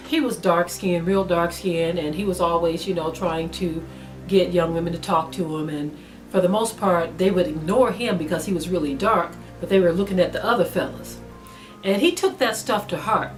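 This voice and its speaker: A middle-aged woman delivers a spiritual teaching in a low, measured voice. She stops often to gather her thoughts with small ums. Soft music plays faintly behind her. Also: low-quality recording. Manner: measured, with frequent pauses and ums. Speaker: Bible teacher.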